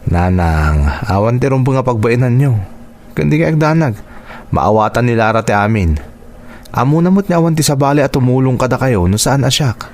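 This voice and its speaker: An actor with an Ilocano accent playing an earnest, burdened married son in a radio drama, with noise behind him. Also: male voice, mid-range voice, lively intonation, moderate pace, darkish tone, close to an everyday voice. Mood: reassuring. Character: dutiful, burdened married son supporting his poor family. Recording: clear background noise.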